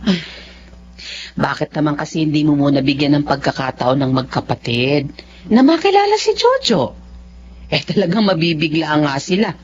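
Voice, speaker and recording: A woman's voice: low-pitched, sing-song, moderate pace, darkish tone, lo-fi sound, slight background noise. An actress playing a sensible, skeptical older female confidante who questions and admonishes in Filipino radio drama style. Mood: concerned, skeptical.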